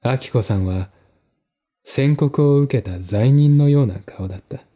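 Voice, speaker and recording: Male audiobook narrator for mystery: medium pitch, dark tone, deliberate dramatic reading. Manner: measured, deliberate pauses. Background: faint music.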